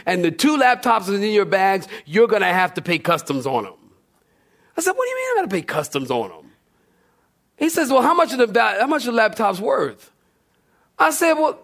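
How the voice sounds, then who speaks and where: Man, higher-pitched voice, pastor, animated storyteller, humorous, self-deprecating, re-enacted dialogue, live sermon. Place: church, recorded live.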